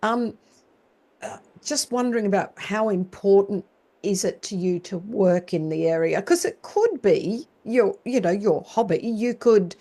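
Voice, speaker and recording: A woman's voice: medium pitch, animated, measured pace, warm tone. A woman in her forties hosting a podcast interview, asking questions in a chatty way. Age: 40s. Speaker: podcast host. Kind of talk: conversational interview.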